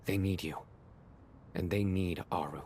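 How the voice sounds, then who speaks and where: An actor playing a stern, blunt, dutiful general in a video game, speaking directly, seriously and formally. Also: male voice, sits low, steady tone, unhurried, creaky, a little mellow, slight background noise. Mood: grave, resolute. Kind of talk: game voice acting.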